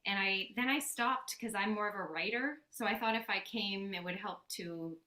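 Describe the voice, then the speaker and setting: A woman's voice: mid-range voice, normal speed, pushed and bright. A woman chatting on a video call about her sketchbook, rambling and correcting herself as she goes. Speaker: workshop participant. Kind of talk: casual sharing on a video call. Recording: echoey room on a call.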